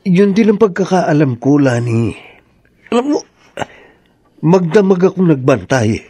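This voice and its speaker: A man playing a suspicious, watchful village husband in a radio drama, speaking in a deliberate, brooding way. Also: fairly high pitch, sing-song, warm tone, breathy edge, dry recording, exaggerated voice. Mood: grave, suspicious.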